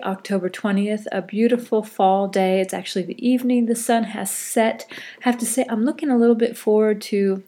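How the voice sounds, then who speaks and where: A woman's voice: medium pitch, warm tone. A middle-aged woman with a stuffy nose from a cold, hosting a solo podcast in a casual, rambling way and often correcting herself. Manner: chatty and rambling, with self-corrections. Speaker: podcast host.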